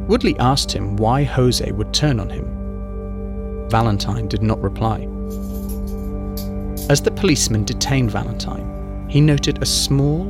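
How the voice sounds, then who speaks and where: A man reading a true-crime feature article in measured scripted narration. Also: mid-range voice.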